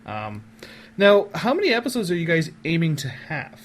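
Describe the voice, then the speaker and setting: A man's voice: fairly high pitch, sing-song, moderate pace. A man hosting a casual podcast, talking in an effusive, wordy way as he interviews his guests.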